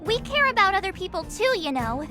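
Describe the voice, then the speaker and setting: A woman's voice: very high-pitched, big pitch swings, fairly quick, music in the background. A woman voice acting an eager, caring, girlish young traveler in a video game. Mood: earnest, eager.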